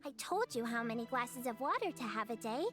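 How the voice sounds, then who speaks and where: A woman voicing a tiny, excitable floating fairy companion in a video game, with a squeaky, bubbly voice and game music behind. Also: a little fast, lightly acted. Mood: chirpy. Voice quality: squeaky, bubbly, speaks of herself by name.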